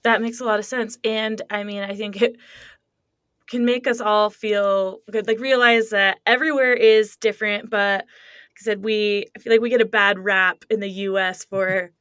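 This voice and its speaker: A woman with a mid-pitched voice hosts a podcast interview in a loose, chatty way, circling around her questions with plenty of "like" and "kind of". The sound is dry and close, with no room around it. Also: fairly even intonation, normal speed, a bit bright, clear, resonant tone, no echo. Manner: casual, rambling, heavy use of "like". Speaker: podcast host. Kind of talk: casual interview chat.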